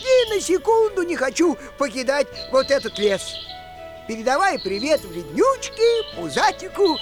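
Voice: high voice, huge pitch swings, normal speed, over music, full character voice. A performer voicing a grumpy but kindly old male forest spirit in a musical audio play, drawling and sighing with many exclamations. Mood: tender.

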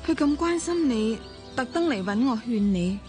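An actress with a Hong Kong accent playing a composed, perceptive young woman in a radio drama, speaking in a measured, probing way. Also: fairly high pitch, expressive, darkish tone, soft background music, natural voice. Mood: calm, probing. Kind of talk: Cantonese radio drama acting.

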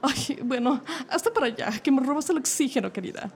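A woman's voice: high-pitched, animated, a little fast, breathy edge, full character voice. A woman with a Mexico City accent playing a snobbish, mocking young woman who drawls and drops English words, giggling as she talks. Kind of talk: comedic radio play acting.

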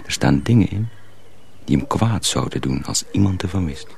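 A man playing a sly young miller's apprentice who poses as a simpleton, speaking confidingly and deliberately in a radio drama. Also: low voice, animated, creaky, darkish tone, full character voice. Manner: deliberate, hesitant, confiding, repeats himself. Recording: faint background noise.

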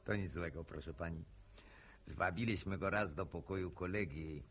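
A man's voice: deep voice, normal speed, a bit bright. An actor playing a smirking, needling village man in a Polish radio drama, mock-gallant and mocking in manner. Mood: gloating, amused. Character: smirking, needling village man, ex-corporal, teasing a courting couple.

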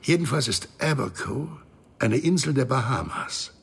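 An actor playing a shady, condescending crime boss in a radio thriller, with a husky, gravelly voice and a smug, wordy manner. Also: male voice, mid-range voice, animated, moderate pace, full character voice. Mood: smug, businesslike. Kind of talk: radio crime drama acting.

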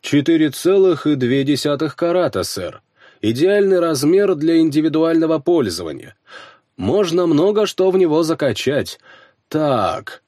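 Courteous, formal gem appraiser voiced by a man, lively intonation. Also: fairly high pitch, a little slow, no room sound, clean studio sound, voice pushed up. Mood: polite, businesslike. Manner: polite, formal, precise. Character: courteous middle-aged male gem appraiser, businesslike clerk.

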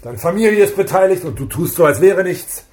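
An actor playing a stern, suspicious police superior, curt and authoritative, in a radio crime drama. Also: male voice, high-pitched, lively intonation, brisk, darkish tone. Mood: angry, accusing.

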